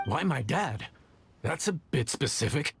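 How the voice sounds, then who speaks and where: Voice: mid-range voice, lively intonation, a little slow. An actor voicing a nervous, self-doubting young male chef in a video game, hesitant and stammering, with music behind. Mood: nervous, flustered. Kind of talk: English video game voice acting.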